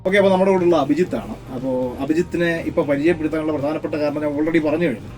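A man's voice: fairly high pitch, racing, a little mellow, distant mic, faint music behind. A man hosting a casual interview. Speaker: interviewer, host.

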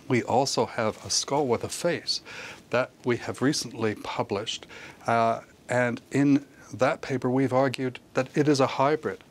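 An older man, a professor, explaining his research in measured academic phrasing as an expert guest in a broadcast interview. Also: mid-range voice. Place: television studio, remote link.

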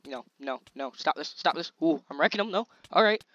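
Excitable young man with a scratchy voice. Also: on the high side, a little fast, no echo, lo-fi sound. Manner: excitable, chatty, slangy hype while playing. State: slightly scratchy voice. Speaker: gaming channel host. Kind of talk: casual gameplay commentary.

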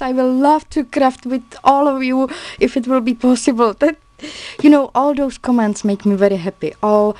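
A woman from the Czech Republic with a Czech accent, chatting casually and a little haltingly in learner's English while showing her handmade journals, laughing often and poking fun at herself. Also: on the high side, a little mellow. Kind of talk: casual crafting video chat. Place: indoors at a craft table, filmed from above.

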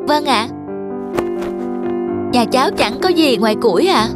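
A high, youthful cartoon boy from a village, dutiful and polite. He speaks earnestly with a worried edge, over prominent music. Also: expressive, measured pace. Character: dutiful, worried young village boy caring for his mother. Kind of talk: cartoon dubbing.

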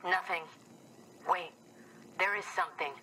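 A woman's voice: lowish voice, steady tone, measured pace, bright, forceful tone, a little hiss or hum, low-quality recording. An actress playing an alert, loyal woman sniper in a game, speaking in tense, clipped phrases. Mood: tense, alarmed.

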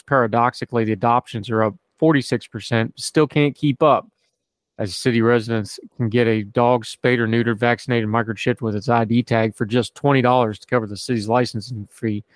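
A man's voice: medium pitch, no echo. Man reading aloud, dry close sound. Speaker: podcast host. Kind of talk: reading an article aloud with casual asides.

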